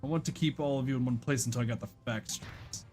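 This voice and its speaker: A performer voicing a gruff, impatient older male police detective in a video game, curt and commanding. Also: medium pitch, fast talker, darkish tone, soft background music, close to an everyday voice. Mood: stern, impatient.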